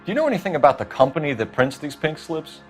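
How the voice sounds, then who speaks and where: An actor playing a polite, businesslike police detective in a video game, questioning formally and methodically. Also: male voice, on the high side, big pitch swings, quick pace, soft background music. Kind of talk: video game character acting.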